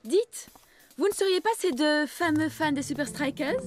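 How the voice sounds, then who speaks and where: A woman's voice: high voice, expressive, moderate pace, loud music behind the voice. A woman voicing an eager, persuasive young female football fan in a French cartoon dub. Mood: polite. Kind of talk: French animated series dub.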